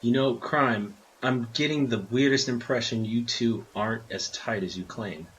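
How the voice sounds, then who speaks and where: A tough-talking police detective played by a man blusters with righteous indignation. His mid-pitched voice is sharp and raspy, made for a radio play. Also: moderate pace, muffled recording. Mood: suspicious. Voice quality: forceful, husky.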